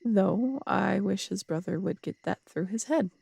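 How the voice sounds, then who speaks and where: Woman acting as husky, very gravelly tough city detective. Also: mid-range voice, mellow, dry recording. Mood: frank, fond. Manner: wry, unhurried storytelling with casual swearing. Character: tough, strong-headed woman city detective, wry and fond. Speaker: voice actor in an audio roleplay. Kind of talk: intimate conversational audio roleplay storytelling.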